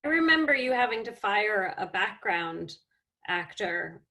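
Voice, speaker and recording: A woman's voice: medium pitch, sing-song, a little slow, bright, forceful tone, poor audio. A woman chatting casually and informally on a video call. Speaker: cast member on a reunion panel. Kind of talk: casual chat.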